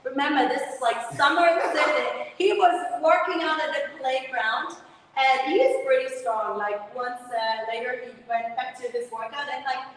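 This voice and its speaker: A woman hosting an interview, chatting and telling stories in an animated way. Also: high-pitched, normal speed. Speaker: podcast host, interviewer. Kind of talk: casual interview conversation. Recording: roomy hall, distant mic, faint noise.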